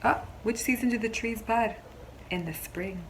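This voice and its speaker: A woman teacher reading a picture book aloud to young children, expressively, pausing to explain simply and ask questions. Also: on the high side, slight background noise, poor audio. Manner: expressive storybook delivery, explains simply, pauses to question listeners.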